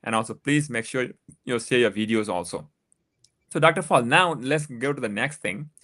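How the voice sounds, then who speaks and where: A man with a Nepali accent hosting a live-streamed talk show, halting over his words with many uhs as he asks questions. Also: mid-range voice, animated, a bit bright. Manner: halting, with many uhs, switches between languages.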